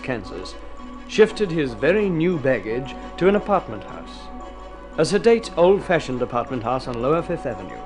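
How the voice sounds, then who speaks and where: An urbane storyteller narrates over clear music. His voice is expressive and wry, with a literary, theatrical polish from old radio drama. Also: fairly high pitch. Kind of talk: scripted mid-century radio drama narration.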